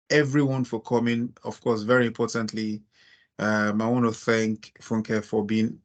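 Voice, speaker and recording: A Nigerian man with a Nigerian accent that has some American influence, hosting an online meeting and talking over a video call, laughing easily and speaking in quick bursts. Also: medium pitch. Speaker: webinar host.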